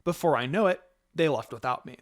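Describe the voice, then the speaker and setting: A man's voice: fairly high pitch, fairly quick. A man reading a first-person fan fiction story as scripted narration.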